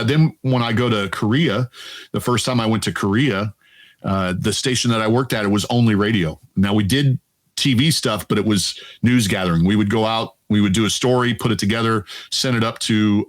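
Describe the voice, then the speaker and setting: A man in his fifties with a deep, resonant voice that sits on the low side. He tells stories in a relaxed, chatty manner, acts out his own past remarks and laughs easily. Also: moderate pace. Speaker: Air Force veteran guest. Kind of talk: casual storytelling interview.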